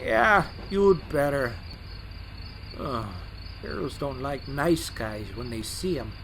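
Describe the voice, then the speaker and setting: A man's voice: medium pitch, lively intonation, slow, gravelly, noisy background. A man playing a boastful, grouchy, easily offended switcher locomotive in a fan audio drama. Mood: dismissive, bitter. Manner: brash, indignant, boastful.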